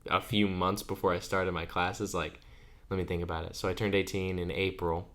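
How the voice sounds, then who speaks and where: A man's voice: low-pitched, level tone, normal speed, fairly bright tone. An eighteen-year-old man co-hosting a podcast, telling stories in a chatty way and laughing as he goes. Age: under 20. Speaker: podcast co-host. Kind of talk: casual chat.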